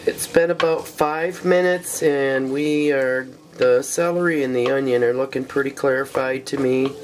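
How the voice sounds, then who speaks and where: A man with a cold, hoarse and stuffy, walking through a recipe step by step in a relaxed way with frequent ums. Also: mid-range voice, normal speed, slight background noise, low-quality recording. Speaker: cooking show host. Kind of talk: casual cooking demonstration. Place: small home kitchen.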